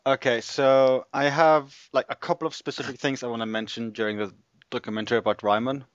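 A man's voice: mid-range voice, flat delivery, a little fast, no echo. A man chatting casually and hesitantly as he plans a video, on a somewhat dull recording. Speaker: video creator. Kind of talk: casual chat while planning a video.

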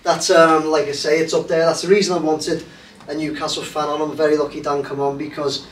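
A man's voice: on the high side, level tone, a little fast. A man hosting a casual football chat show, rambling and hesitant with lots of fillers. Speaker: host. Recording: roomy, distant mic, faint hiss.

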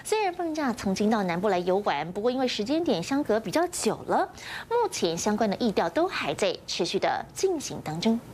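A woman's voice: medium pitch, very animated, brisk, darkish tone, slight background noise. A young woman presenting broadcast news in a TV studio as an anchor, with a Taiwanese Mandarin accent.

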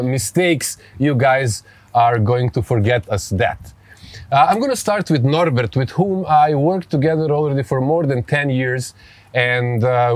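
A man's voice: mid-range voice, no echo. A man in his forties from Serbia, hosting a podcast interview outdoors by a pool in English with a Serbian accent, hesitant and searching for words. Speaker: podcast host.

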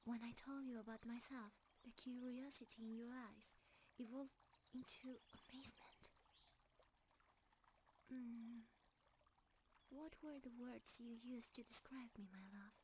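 A woman voicing a gentle, loving, timeless deer-centaur forest creature in a romantic ASMR roleplay, with a soft, breathy, often whispering voice and a calm, tender manner. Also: fairly high pitch, a little hiss or hum, close to an everyday voice. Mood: gentle, reassuring. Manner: calm, delicate, tender, unhurried.

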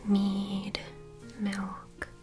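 Whispering young woman playing a kindly medieval innkeeper, ASMR roleplay, slow and gentle, music behind. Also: medium pitch, completely flat delivery. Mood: gentle, welcoming. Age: 20s. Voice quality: soft, breathy whisper. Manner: gentle, unhurried, solicitous. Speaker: ASMR performer. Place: small dim candlelit indoor set.

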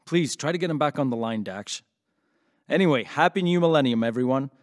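An actor playing an easygoing radio studio host in an audio drama. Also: male voice, medium pitch, lively intonation, a little fast, close to an everyday voice. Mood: mildly concerned. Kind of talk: radio presenting.

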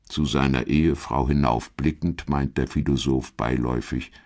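A man reading scripted documentary narration in a measured, articulate storytelling manner. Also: very deep voice, steady tone, darkish tone.

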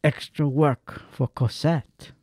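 A man's voice: a little high, steady tone, takes it slowly, warm tone, husky, no echo, studio quality. A man giving a theatrical, literary narration of a dramatised classic story.

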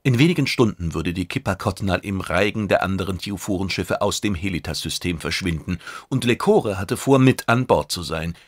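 A man narrates science fiction in a fairly deep voice. His delivery is measured and deliberate, with dramatic emphasis. The sound is dry and free of room echo. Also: animated. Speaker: audiobook narrator.

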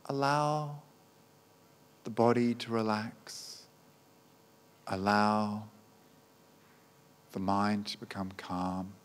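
Slow, low-pitched male meditation guide. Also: steady tone. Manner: gentle, unhurried, with long pauses between short phrases. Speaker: meditation teacher, Buddhist monk.

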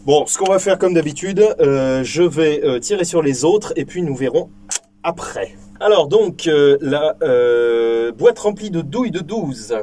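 A young man hosting a casual vlog from a small indoor shooting booth, joking, swearing freely and filling pauses with lots of ums. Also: on the high side, lively intonation, dark tone, slight background noise. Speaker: firearms video host.

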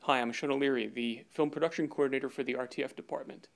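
A man's voice: medium pitch, steady tone, fast talker, creaky, faint music behind. A man in his fifties, a production coordinator, giving a scripted address to camera. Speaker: film production coordinator presenting. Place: indoors before a curtain backdrop.

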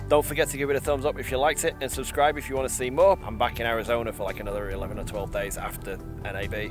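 A man in his thirties chatting casually to the camera in a travel vlog, rambling a little, recorded outdoors in a windy open field on a close handheld camera mic. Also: medium pitch. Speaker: vlogger. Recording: background music under the voice.

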